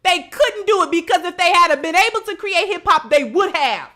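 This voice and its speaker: High, bright-voiced emphatic woman livestreamer. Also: expressive, moderate pace. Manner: emphatic, repeats phrases for effect. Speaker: livestream host. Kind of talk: impassioned livestream commentary to an audience, reading out chat messages.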